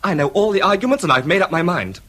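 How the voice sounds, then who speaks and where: A young British son, earnest and defensive. His high, husky voice moves widely in pitch as he insists and apologises. It is radio drama acting. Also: lively intonation, quick pace, pushed and bright, full character voice. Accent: British English. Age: young adult.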